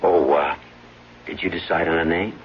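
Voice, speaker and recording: An actor playing a cautious, scheming husband, controlled and conspiratorial, in a muffled 1970s radio drama. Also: male voice, low voice, steady tone, moderate pace, natural voice. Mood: cool, conspiratorial. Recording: muffled, hissy old radio broadcast.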